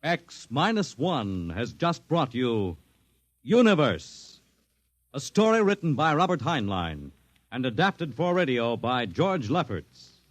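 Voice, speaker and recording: A man's voice: medium pitch, big pitch swings, no echo. Dramatic old-time radio announcer, man. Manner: measured, dramatic delivery. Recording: old 1950s radio broadcast with music. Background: music.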